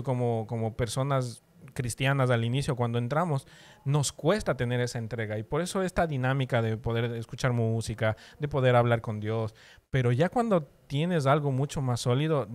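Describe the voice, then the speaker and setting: A man's voice: medium pitch, normal speed, darkish tone. A man from Ecuador casually explaining faith as a podcast co-host, repeating phrases for emphasis. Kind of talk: casual religious discussion.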